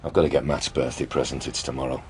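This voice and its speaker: An actor playing a gruff, defensive male policeman in a radio drama. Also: rumbling low, flat delivery, fast, slight background noise. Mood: flustered, emphatic.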